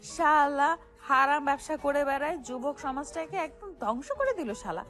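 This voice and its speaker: Woman acting a high-pitched young female gang boss, brash, defiant, streetwise slang, argumentative, audio story drama, music behind. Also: expressive, normal speed, a bit bright. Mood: impressed, scornful. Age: young adult.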